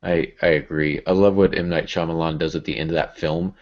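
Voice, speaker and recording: A man's voice: a bass voice, fairly even intonation, a little fast, darkish tone. A man hosting a casual podcast in a playful, joking manner. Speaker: podcast host, creative writing teacher. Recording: dull, muffled audio.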